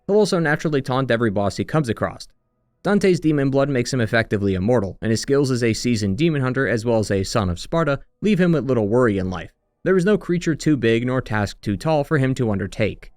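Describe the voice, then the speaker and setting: Guy reading a scripted game retrospective, average pitch, really dry sound with no room on it. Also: expressive, quick pace, darkish tone, no room sound. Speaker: narrator of a video game retrospective. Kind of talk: scripted video essay narration.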